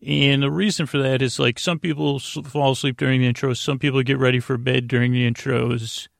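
A creaky, fry-laden man's voice at a middling pitch, in a dry recording with no room sound. He hosts a podcast meant for bedtime, meandering from tangent to tangent. His delivery is halting, and he keeps breaking off and rephrasing his own thoughts. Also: level tone, no echo. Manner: meandering, hesitant, halting self-interruptions. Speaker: podcast host. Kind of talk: rambling, tangent-filled bedtime podcast monologue.

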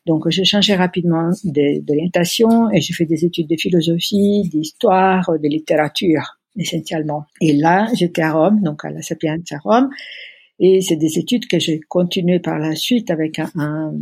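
A woman's voice: fairly low pitch, a little mellow, husky, no echo. A middle-aged woman from Italy, speaking with an Italian accent in a podcast interview, hesitant and often searching for words. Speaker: interview guest.

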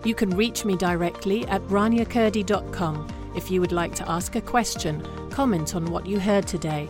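A woman reads her podcast's closing lines in a smooth, scripted way, with clear music playing behind her voice. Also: fairly low pitch, moderate pace. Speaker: podcast host. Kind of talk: scripted outro.

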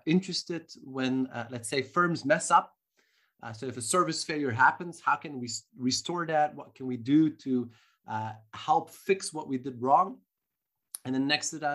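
A marketing professor answering interview questions thoughtfully, with frequent restarts and fillers. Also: male voice, medium pitch, a bit bright. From Belgium.

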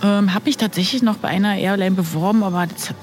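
A woman's voice: medium pitch, steady tone, fast, darkish tone, faint music behind. Chatty woman in her fifties. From Berlin. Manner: chatty storyteller with many fillers. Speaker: guest, former flight attendant. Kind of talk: casual interview.

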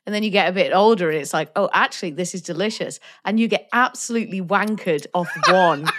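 Chatty woman, lowish voice. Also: fast talker, a bit bright. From North Wales. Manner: chatty and self-mocking, laughs often. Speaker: podcast co-host. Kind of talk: casual podcast chat.

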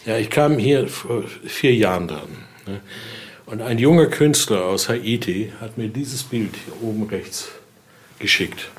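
A man's voice: lowish voice, expressive, a little slow. A man giving an informal spoken explanation as an expert guide, often adding short tag questions to check agreement. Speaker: expert guide showing a collection.

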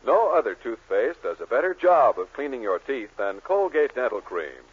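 A man with a husky, lively voice reads a persuasive commercial. The sound is thin and tinny, like an old radio broadcast. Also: moderate pace. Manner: smooth, persuasive sales pitch. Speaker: commercial announcer.

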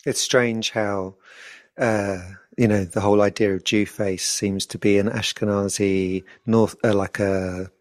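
A man's voice: on the low side, flat delivery, unhurried, dry recording. Musing male co-host, dry close sound. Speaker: podcast co-host. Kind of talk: casual conversation.

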